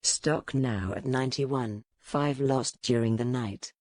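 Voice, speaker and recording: Old male sea captain, played by an audiobook narrator: pious, cruel, formal log-entry delivery, low register. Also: takes it slowly, darkish tone, no echo, studio quality. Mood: grim, indignant. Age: older. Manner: clipped, severe, righteous. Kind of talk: formal ship's log read as dramatic narration.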